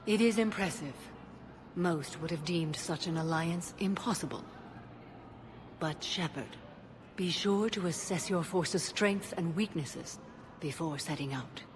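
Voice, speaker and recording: An actress playing a solemn, disciplined alien warrior woman in a video game, speaking in a measured, formal, grave way. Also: fairly low pitch, close to an everyday voice. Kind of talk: video game cutscene acting. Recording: clearly noisy game audio with background ambience.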